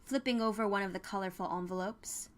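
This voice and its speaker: A woman's voice: medium pitch, brisk. Woman narrating fiction over music. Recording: music under the voice.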